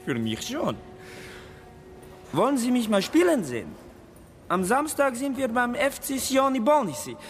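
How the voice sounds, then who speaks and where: An actor playing a cheeky, candid young Georgian footballer in a radio drama, talking in a teasing, informal way. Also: male voice, very high-pitched, sing-song, a little slow, long pauses, faint music behind, full character voice. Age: young adult.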